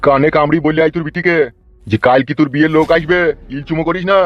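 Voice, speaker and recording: A man's voice: fairly high pitch, steady tone, rapid-fire, close to an everyday voice. A man voicing a hot-tempered, stubbornly proud village father in a Bengali comedy cartoon, with a rural West Bengal accent and a blustering manner. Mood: gruff, impatient. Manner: blustering, threatening. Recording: dull lo-fi sound, faint music.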